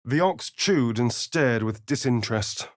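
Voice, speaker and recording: A man's voice: mid-range voice, lively intonation, a little slow, no echo, studio quality, natural voice. An actor playing a suave, sardonic male vampire spawn in a video game, with an arch, drawling, theatrical manner. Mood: hushed narration. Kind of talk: video game character voice lines.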